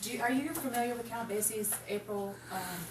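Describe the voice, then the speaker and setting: A woman's voice: on the high side, brisk. A playful, exclamatory woman directing and bantering casually with her cast during a rehearsal. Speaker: host and director of an old-time radio comedy troupe. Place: echoey room with cast members around. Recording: noisy, echoey room, distant mic, loud chatter behind.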